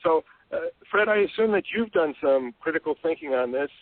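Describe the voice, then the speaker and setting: A man's voice: a little high, expressive, brisk, dry recording. Jovial male host over a dry phone line. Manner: jovial, prompting the guest with questions. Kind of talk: podcast interview talk.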